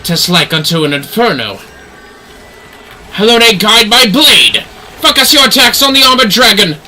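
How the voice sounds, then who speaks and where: A man's voice: high voice, lively intonation, moderate pace, sharp tone, a little hiss or hum, exaggerated voice. A man voicing fervent fantasy knight commanders in a video game, declaiming urgent battle cries to rally troops. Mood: rousing, resolute.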